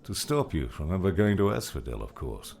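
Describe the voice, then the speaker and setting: A man voicing a gruff, laid-back older man with a gravelly voice and a teasing, fatherly manner in an audiobook. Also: low voice, sing-song, a little fast, a little mellow, exaggerated voice. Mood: wry, reflective. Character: gruff, casual older grey-haired man, wry and fatherly. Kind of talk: audiobook character dialogue.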